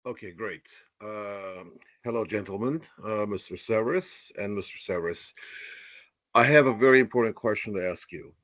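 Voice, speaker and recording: Low-voiced male caller on dull line. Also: moderate pace, a bit bright, dry recording. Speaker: caller asking questions. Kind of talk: formal questions on an earnings call. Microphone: remote conference call.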